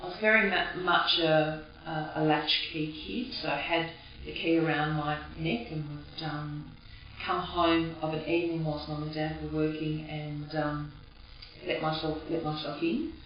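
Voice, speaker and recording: A woman with an Australian accent, raised speaking Greek at home, recalling her childhood in a reflective, halting way. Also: low voice, level tone, slight background noise. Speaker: interviewee. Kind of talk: personal reminiscence. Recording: echoey, distant, dull recording.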